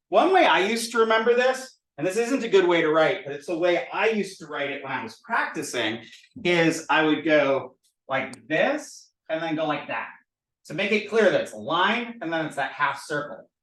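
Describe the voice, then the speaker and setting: Guy teaching at a board, high animated voice, lots of repeating sounds, echoey room, a bit far from the mic. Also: normal speed. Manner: animated, drills sounds by repetition. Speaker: language teacher. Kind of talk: recorded board lesson.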